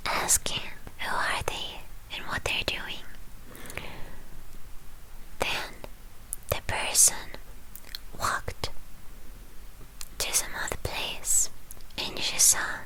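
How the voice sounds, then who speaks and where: A woman telling a story in a breathy ASMR whisper, gentle and soothing, with pauses between short phrases. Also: low-quality recording.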